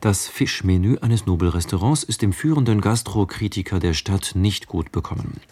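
A male radio announcer with a very low voice. It has a husky grain as he reads a scripted programme announcement. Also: normal speed, darkish tone.

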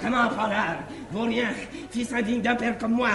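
An actor playing a sly, cheeky manservant in classical French stage comedy, exclamatory and mock-deferential. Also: male voice, extremely high pitch, fairly even intonation, normal speed, gravelly, crowd noise, background noise. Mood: mock scolding. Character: sly, cheeky young manservant schemer, theatrical trickster. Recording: echoey 1960s theatre stage recording.

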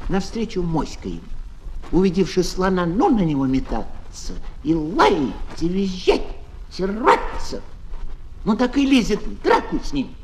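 A man giving a theatrical, expressive recitation of verse fables for children as a storyteller. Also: high voice. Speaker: fable narrator, actor. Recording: noisy old flexi-disc record.

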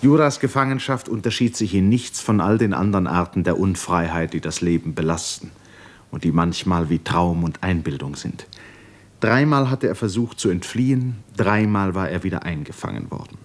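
An actor as the grave narrator of a 1950s German radio drama, telling the story in a measured, literary manner. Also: male voice, sits low. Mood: sober.

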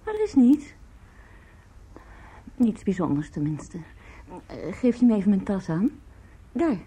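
A woman's voice: mid-range voice, big pitch swings, mellow, slight background noise. An actress playing a weary middle-aged mother in a radio drama, hesitant and evasive. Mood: drowsy, evasive. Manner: hesitant, halting. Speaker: actor.

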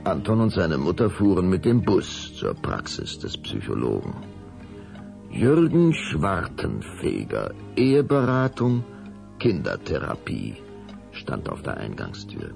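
A man's voice: low-pitched, creaky, heavily acted. A man narrating a children's audio drama as its scripted storyteller. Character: male storyteller narrating a children's vampire tale.

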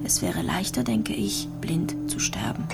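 A gravely ill young woman in a German radio play, with a lowish voice. She speaks softly and haltingly, melancholic and quietly fearful, with music clearly behind her. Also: wildly swooping pitch, in a whisper, heavily acted. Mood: wistful, resigned. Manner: soft, halting, introspective. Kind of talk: radio drama monologue.

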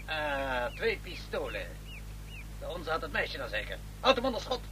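An actor playing a hard, sneering leader of an armed gang in a radio play, barking curt orders. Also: male voice, fairly high pitch, very animated, normal speed. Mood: commanding. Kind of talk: dramatic radio play acting. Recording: faintly noisy radio play recording.

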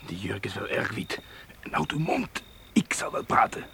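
A man's voice: fairly low pitch, wildly swooping pitch, moderate pace, sharp tone, full character voice. An actor playing a furtive, threatening male attacker in a radio drama, speaking curtly. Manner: furtive, curt. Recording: clearly noisy background.

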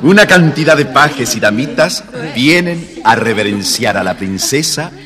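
A man's voice: mid-range voice, animated, unhurried, in a large hall, a crowd in the background. A man narrating a children's story in a scripted, dramatic storytelling style.

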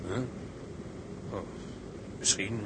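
A man's voice: drawn-out words, background noise. A man playing a blunt, teasing bosun among sailors in a seafaring drama. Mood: wistful. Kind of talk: dramatised seafaring story, scripted acting.

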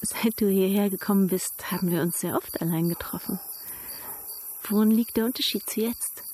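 A woman's voice: medium pitch, moderate pace, a little mellow, a little hiss or hum, close to an everyday voice. An actress in a radio drama playing a headstrong young woman in love who talks in a direct, challenging way. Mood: defiant, intimate.

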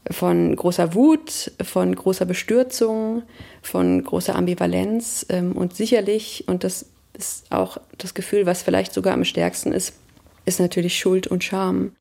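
A woman of about thirty speaks in a reflective interview register. Her voice is strongly creaky and on the dark, mellow side. She picks her words thoughtfully as she gives a personal account. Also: on the low side, fairly even intonation, measured pace. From Germany. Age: 30s.

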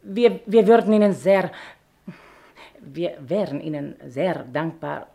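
A woman's voice: lowish voice, expressive, slow, creaky, dark tone, full character voice. An actress playing a fussy lady traveller in a radio play. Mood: fussy, pleading. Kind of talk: radio drama acting.

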